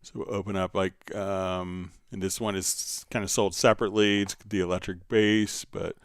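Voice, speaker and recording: Low, smooth-voiced man hosting a tutorial. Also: flat delivery, moderate pace, no echo, clean studio sound. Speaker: host of a music software live stream. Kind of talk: casual live tutorial, answering viewer questions.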